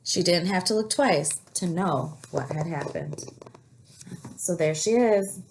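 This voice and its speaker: Lady teacher doing storytime for kids, animated reading, asks the little ones questions as she goes. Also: lowish voice, a little hiss or hum, low-quality recording. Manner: animated, pauses to question young listeners and explain words. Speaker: teacher reading a picture book aloud to children. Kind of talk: expressive read-aloud storytelling.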